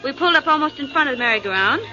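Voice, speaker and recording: A woman's voice: high voice, animated, racing, pushed and bright, faint music behind. A woman playing a chatty, loyal young companion to a detective in 1940s radio drama. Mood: earnest. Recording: muffled 1940s radio broadcast.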